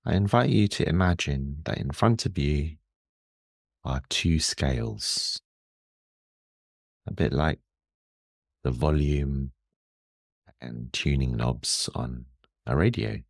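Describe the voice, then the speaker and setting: Man, extremely low pitch, unhurried meditation guide, soothing, measured, long pauses, dry recording. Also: expressive, vocal fry, dry recording.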